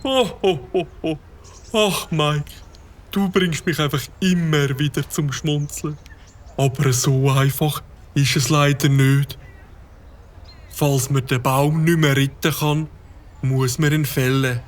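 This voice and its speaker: A man's voice: on the high side, fairly even intonation, slow pace. A man voicing a fussy, learned bird-watcher in a cartoon, speaking German with a Swiss accent and a pompous, long-winded manner. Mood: earnest.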